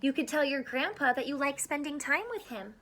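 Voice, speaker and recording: A woman's voice: sits high, lively intonation, brisk, background noise. A woman teacher reading a picture book aloud to children, adding encouraging asides and questions.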